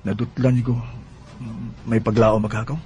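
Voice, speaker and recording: A man's voice: on the low side, flat delivery, normal speed, darkish tone, a little hiss or hum, lightly acted. A man acting a smitten working man with a wandering heart in a Bisaya radio drama, with a Cebuano Filipino accent. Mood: earnest, wooing.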